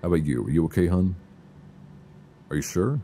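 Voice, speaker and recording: A man playing an affectionate, reassuring boyfriend in an intimate, casual ASMR roleplay, speaking softly and soothingly close to a binaural mic. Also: sits low, lively intonation. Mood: gentle, reassuring. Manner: soft, intimate, unhurried, soothing. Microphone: close binaural microphone. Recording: faint background noise, binaural.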